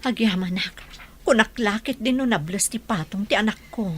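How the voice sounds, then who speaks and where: A woman's voice: medium pitch, moderate pace, a little hiss or hum, close to an everyday voice. A woman playing a concerned, earnest young mother in an audio drama.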